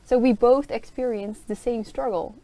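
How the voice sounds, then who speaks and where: A woman's voice: fairly high pitch, steady tone, moderate pace, dark tone. A young woman student teacher talking casually about her internship, filling her speech with "like". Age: young adult. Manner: chatty, leans on "like". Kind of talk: casual discussion.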